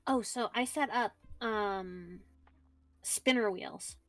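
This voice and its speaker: A woman co-streaming, talking casually in a halting way with many ums and pauses. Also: mid-range voice, fairly bright tone, faint music behind. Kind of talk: casual chat on a writing stream.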